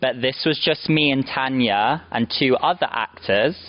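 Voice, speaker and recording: Man, stage actor, slow plain Q&A delivery, dull lo-fi recording. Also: medium pitch, dry recording. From London, England. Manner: measured, plain wording. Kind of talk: answering audience questions. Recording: dull lo-fi sound.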